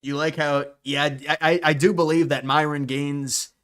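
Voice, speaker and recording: A man's voice: on the high side, level tone, normal speed, fairly bright tone, clean tone. A man hosting a live stream, commenting casually on clips and often stopping to restart and repeat words.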